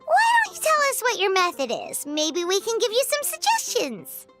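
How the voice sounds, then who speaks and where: A tiny, squeaky fairy sidekick for a video game. The voice is extremely high and bright, swooping up and down, and she is perky, bossy and chatty like an excitable child. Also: normal speed, faint music behind, heavily acted. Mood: helpful, boastful.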